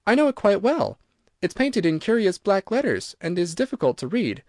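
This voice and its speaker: A man's voice: high voice, sing-song, normal speed, a little mellow, no room sound, clean studio sound, pitched above the performer's own voice. A man reading aloud and voicing an earnest, prim young girl in a storybook. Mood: eager. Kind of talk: audiobook reading.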